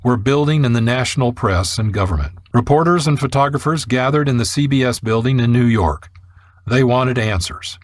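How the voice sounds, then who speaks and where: Dry, mid-pitched male radio host. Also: no room sound. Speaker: radio show host and narrator. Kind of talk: scripted narration. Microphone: close studio mic.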